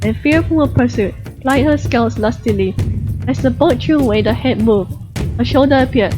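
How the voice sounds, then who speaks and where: A woman's voice: fairly high pitch, moderate pace. A woman reading a storybook aloud as a scripted narration. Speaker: audiobook narrator. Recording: dull lo-fi recording, music behind. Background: background music.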